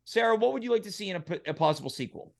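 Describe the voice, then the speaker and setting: High-pitched man hosting a casual chat. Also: lively intonation, racing, creaky, darkish tone. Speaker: show host. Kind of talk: casual comedic panel chat.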